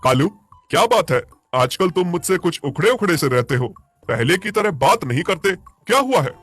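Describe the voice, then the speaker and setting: A man voicing a kind, principled village animal owner in an animated moral story, earnest and reassuring. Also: a little high, moderate pace, faint music behind, voice pushed up. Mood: concerned.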